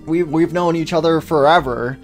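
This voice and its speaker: A man voicing a shy, lovesick male toy rabbit animatronic in a comedy cartoon, with a halting, nervous stammer. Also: a little high, soft background music, natural voice. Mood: nervous, hesitant. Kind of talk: amateur comedy cartoon dialogue.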